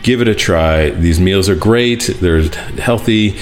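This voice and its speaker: A man hosting a podcast, chatting casually with many fillers and reading an ad in a relaxed way. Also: sits low, a little slow, soft background music. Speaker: podcast host. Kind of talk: casual podcast chat and host-read ad.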